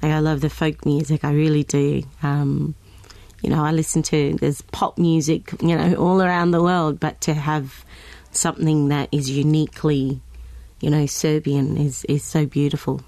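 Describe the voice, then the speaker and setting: Low, dry-miked Australian woman guest; halting, filler-heavy chat. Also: level tone, dark tone, dry recording. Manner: hesitant, with many ums and restarts. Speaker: guest singer. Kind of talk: casual interview chat.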